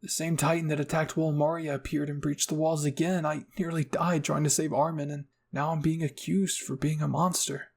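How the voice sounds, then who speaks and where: A man voicing an emotional, earnest teenage boy prisoner in an anime fan fiction reading, halting and shaken. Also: on the high side, little pitch movement, vocal fry, husky. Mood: shaken, relieved.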